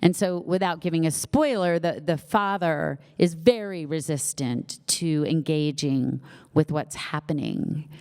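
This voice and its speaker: A woman's voice: low-pitched, measured pace, hardly any pauses, darkish tone, clear, resonant tone. A woman author with a slight lisp, chatting casually with self-deprecating jokes at a live book event. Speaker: author at her book launch. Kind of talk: casual conversational interview. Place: live event hall with audience. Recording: long hall reverb.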